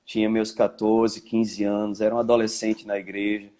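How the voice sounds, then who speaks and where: A man's voice: fairly low pitch, monotone, normal speed, mellow. A man in his thirties from Caruaru with a slightly mixed Pernambuco accent, a pastor talking with heartfelt, grateful emotion on a church livestream, full of "né" and "cara". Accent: Pernambuco Portuguese, slightly mixed. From Caruaru, Brazil. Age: 30s. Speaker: pastor, invited guest.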